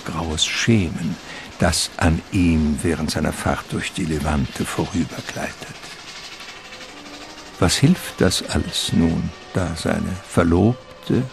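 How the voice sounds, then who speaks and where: An actor playing the ominous, dramatic narrator of a gothic radio drama. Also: male voice, deep voice, gravelly, a little hiss or hum, exaggerated voice. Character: ominous male storyteller of a gothic vampire tale. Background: music.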